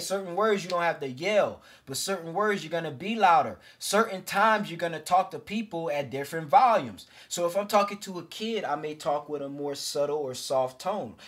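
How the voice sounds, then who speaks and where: A man coaching other men on social skills in a casual, energetic monologue, full of slang and swearing, calling viewers G and fellas. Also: fairly high pitch. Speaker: self-improvement coach.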